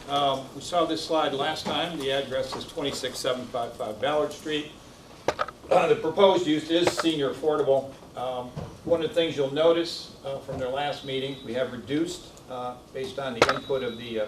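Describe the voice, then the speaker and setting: A man's voice: medium pitch, noisy background. A man presenting architecture slides at a township meeting in a businesslike way, with frequent fillers. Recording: echoey room, distant mic.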